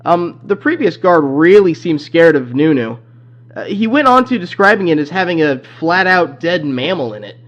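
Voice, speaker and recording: A man's voice: fairly high pitch, very animated, moderate pace. A man playing an awkward, chatty company phone caller briefing a night guard in a horror game, rambling hesitantly. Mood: chatty, dismissive. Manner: hesitant, rambling, full of ums. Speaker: phone guide character in fan horror game. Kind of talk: rambling phone-message monologue. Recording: muffled lo-fi phone-message audio.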